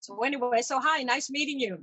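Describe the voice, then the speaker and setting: A woman's voice: sits high, brisk, pushed and bright, dry recording, poor audio. A woman hosting an online meeting in a casual, chatty way with self-deprecating humor, speaking over a video call. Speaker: exhibition chair hosting a virtual meeting. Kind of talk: casual welcome and chat. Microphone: tablet on a video call.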